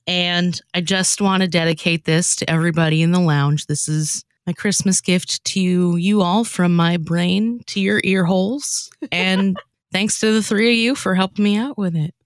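A woman's voice: fairly low pitch, fairly even intonation, measured pace, no echo, studio quality. A woman chatting casually as a podcast host.

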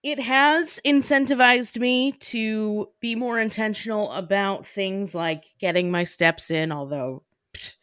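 A young woman hosts a podcast in an informal, conversational style. She explains things playfully and drops in slang, with a mid-pitched voice and a very dry sound. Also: a little slow, a bit bright, smooth, no room sound. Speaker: podcast host. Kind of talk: casual explanatory podcast chat.

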